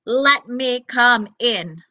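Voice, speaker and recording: A woman voicing a big bad male robot from a children's storybook, with low, drawn-out sing-song threats. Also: lively intonation, bright, forceful tone, dull audio, full character voice. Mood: menacing.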